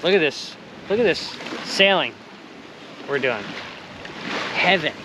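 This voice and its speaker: A man in his forties chats easily to an action camera on the deck of a small sailboat at sea. His voice sits a bit high, loose and cheerful, full of little fillers. Steady wind and water noise wash over the windy outdoor recording. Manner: relaxed, upbeat, loose with fillers and soft laughs. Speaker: solo sailor vlogger. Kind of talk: casual vlog narration. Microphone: action camera close on the boat. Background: wind and water rushing past the hull.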